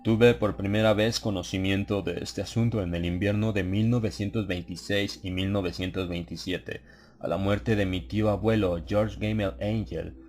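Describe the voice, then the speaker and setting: A man reading a horror story as an audiobook narrator, in a measured, solemn, dramatized literary delivery. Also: low-pitched, a little mellow.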